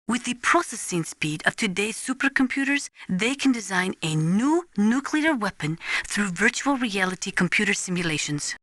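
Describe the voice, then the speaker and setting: A woman playing an earnest nuclear weapons analyst in a video game, explaining things in a measured, precise, didactic way. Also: mid-range voice, pushed and bright, no echo. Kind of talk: scripted expository video game voice acting.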